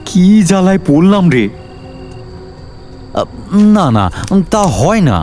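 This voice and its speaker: A man's voice: sits high, very animated, takes it slowly, darkish tone, faint music behind, full character voice. A man playing a flustered, henpecked newlywed villager in a comedy cartoon, exclaiming and anxiously repeating himself. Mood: worried, flustered. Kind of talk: Bengali comedy cartoon voice acting.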